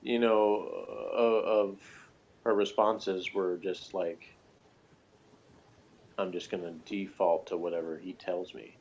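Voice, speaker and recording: A man's voice: low voice, level tone, takes it slowly, pauses often, a little mellow. A man talking casually as an episode reviewer, rambling a little as he thinks aloud. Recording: dull, lo-fi sound.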